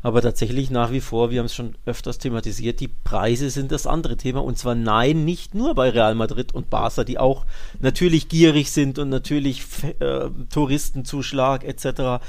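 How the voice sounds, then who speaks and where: A man's voice: mid-range voice, fairly even intonation, moderate pace, darkish tone. A man hosting a casual football podcast, informal, teasing and opinionated in the way he talks.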